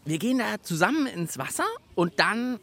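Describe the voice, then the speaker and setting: Very animated man hosting a children's show. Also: sits high, normal speed, creaky. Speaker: children's radio show host. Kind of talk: casual playful chat for children.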